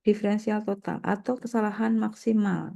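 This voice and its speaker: A woman's voice: medium pitch, moderate pace, gravelly, dark tone. A woman with a nasal voice from a slight cold, explaining step by step as a lecturer and often checking in with short tags. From Indonesia. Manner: didactic, with frequent check-in tags. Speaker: university mathematics lecturer.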